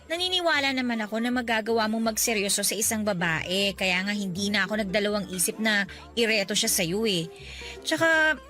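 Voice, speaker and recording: A woman's voice: mid-range voice, a bit bright, lightly acted. An actress playing a frank, protective young woman who is chatty and teasing with her friend in a radio drama.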